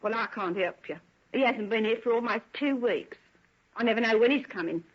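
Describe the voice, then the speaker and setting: Bitter working class housekeeper lady, raspy and blunt, muffled old radio drama sound. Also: a little high, brisk. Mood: scornful. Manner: blunt, scornful, evasive. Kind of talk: 1940s radio acting. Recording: dull old radio recording.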